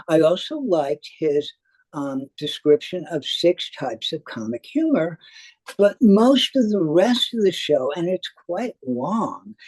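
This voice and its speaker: A man delivers a spoken review as a theater critic. His pace is slow and often hesitant, while his pitch moves around a lot. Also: a little mellow, husky, dry recording, low-quality recording. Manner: halting, with frequent hesitations. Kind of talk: semi-scripted spoken review.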